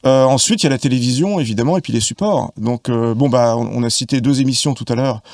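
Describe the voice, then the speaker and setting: A man in his fifties from Paris with a gravelly edge to his voice, talking as a podcast guest. He lays out his thoughts in long, winding explanations full of hesitations and restarts. The sound is dry and close, with no room around it. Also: mid-range voice, fast talker, a little mellow, no room sound. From Paris, France. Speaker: podcast guest, film expert. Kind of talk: casual conversation.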